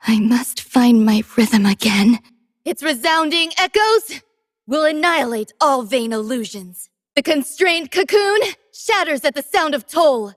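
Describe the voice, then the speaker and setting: A woman voicing a gentle, devoted female android musician in a video game, soft-spoken, tender and poetic in a measured delivery. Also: high-pitched, animated, dry recording, studio quality. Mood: solemn, resolute. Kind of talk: scripted game character voice lines.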